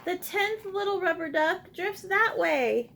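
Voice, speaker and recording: Female children's read-aloud teacher: high, creaky voice, unhurried pace, encouraging and expressive delivery. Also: slight background noise. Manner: encouraging, expressive, addresses young children with simple questions. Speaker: teacher reading a picture book aloud. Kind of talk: interactive children's read-aloud with call-and-response prompts.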